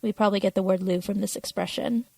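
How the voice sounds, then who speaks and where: A woman's voice: mid-range voice, fairly even intonation, fast, vocal fry, mellow, no room sound. A woman co-hosting a podcast, explaining things in a casual, understated and somewhat tentative way. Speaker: podcast co-host.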